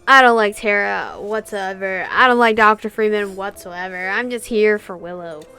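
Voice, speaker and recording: A woman's voice: mid-range voice, moderate pace, pushed and bright, clear, resonant tone, soft background music. A woman giving blunt, sarcastic, sweary casual commentary as she plays a game. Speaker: gaming YouTuber. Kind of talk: casual let's play commentary.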